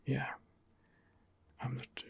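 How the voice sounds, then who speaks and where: A man giving ASMR game commentary in a breathy, husky whisper, hesitant and rambling as he muses his way along. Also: drawn-out words. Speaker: video game streamer, channel host.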